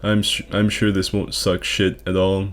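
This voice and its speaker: A man's voice: sits low, completely flat delivery, normal speed, slight background noise. A man hosting a gaming video with casual, profane commentary, delivered in a sarcastic, hyperbolic way.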